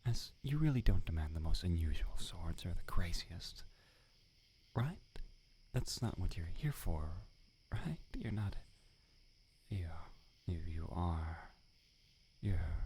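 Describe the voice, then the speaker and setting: A man's voice: sits low, darkish tone, a little hiss or hum, heavily acted. A man playing a prickly, irritable village swordsmith, in whispered, sarcastic roleplay ASMR. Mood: placating. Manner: whispered, exasperated, sarcastic scolding. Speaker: ASMR voice actor.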